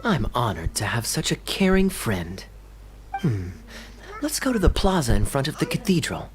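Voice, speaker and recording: A suave, teasing young knight. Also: male voice, mid-range voice, wildly swooping pitch, normal speed, background noise. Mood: relaxed. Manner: smooth, playful, gently mocking. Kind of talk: game voice acting. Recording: game audio with music behind.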